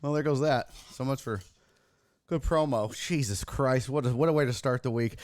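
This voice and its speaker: A man hosting a casual livestream sports talk show, hoarse and raspy from losing his voice, joking crudely and mocking himself as he chats with viewers. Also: mid-range voice, normal speed, a little mellow, slight background noise. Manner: crude, self-mocking banter with chat. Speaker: host.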